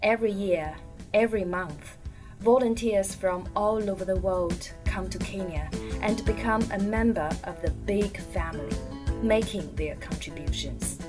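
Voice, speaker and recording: A woman's voice: mid-range voice, unhurried. Woman reading documentary voice-over, kinda distant, music under it. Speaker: documentary narrator. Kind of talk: scripted narration.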